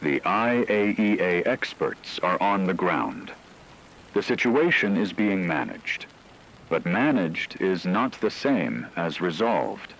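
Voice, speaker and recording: A man playing a grave scientific expert, giving a measured, formal, technical briefing in a scripted radio drama about a disaster. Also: low-pitched, very animated, a bit bright, slight background noise, exaggerated voice, rough recording. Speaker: radio broadcast expert commentator.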